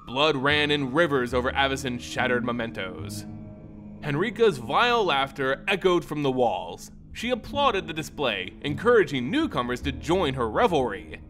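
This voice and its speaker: A man reading a fantasy tale as a dramatic narrator, with theatrical, deliberate phrasing. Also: mid-range voice, big pitch swings, a bit bright, soft background music. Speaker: storyteller, narrator.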